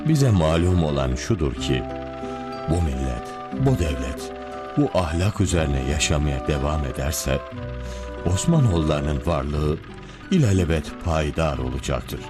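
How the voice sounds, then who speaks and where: Grave, wise cartoon priest: extremely deep voice, solemn delivery with wide intonation. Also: male voice, animated, unhurried, over loud music. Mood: solemn, reverent. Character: grave, wise Orthodox priest, courteous and thoughtful. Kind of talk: cartoon voice acting.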